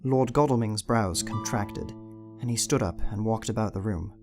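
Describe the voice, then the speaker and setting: Creaky-voiced man narrating, lowish pitch. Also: normal speed. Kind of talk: dramatised diary narration. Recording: music behind the voice.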